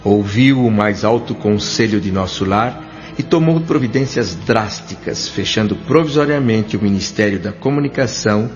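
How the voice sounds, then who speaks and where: Male actor as reflective doctor-narrator, Brazilian, lowish voice, measured literary delivery for audio drama, dulled recording. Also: expressive, lightly acted. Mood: solemn, reflective. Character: thoughtful male doctor recounting his afterlife memories. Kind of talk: scripted first-person audio drama narration. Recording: dull lo-fi audio with music underneath.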